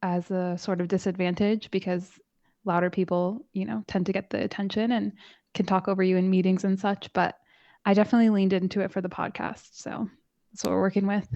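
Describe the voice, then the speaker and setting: A soft-spoken woman talking calmly and evenly as a guest in a casual interview. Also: medium pitch, no room sound, dull audio. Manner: calm, measured, soothing. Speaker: podcast host and guest.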